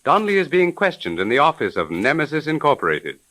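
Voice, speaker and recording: A man's voice: fairly high pitch, wildly swooping pitch, fast, sharp tone. A male announcer delivering dramatic scripted narration in the style of a 1930s radio mystery.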